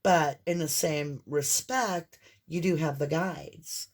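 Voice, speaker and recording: Hoarse chatty woman reading tarot. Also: sits low, takes it slowly. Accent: mixed Southern and Northern American. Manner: chatty, rambling, talks straight to the viewer. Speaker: tarot reader.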